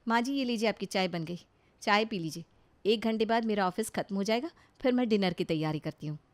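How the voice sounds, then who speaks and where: A woman's voice: mid-range voice, a little fast, natural voice. A woman playing a dutiful, put-upon young city daughter-in-law in a cartoon family story, polite, earnest and a little plaintive. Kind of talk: Hindi animated family story acting.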